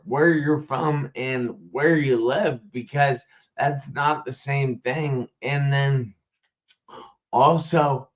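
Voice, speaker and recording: A man hosts a casual live-stream interview at a very slow pace. He is halting and often repeats words as he goes. The sound is dull and lo-fi, as from an online call. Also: on the high side. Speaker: live show host.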